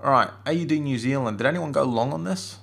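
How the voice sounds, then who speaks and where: A man's voice: medium pitch, fast talker, vocal fry, a bit bright. A man with a cold and a strained voice giving a casual chart-analysis webinar, hesitating often with fillers and restarts. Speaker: forex trading analyst.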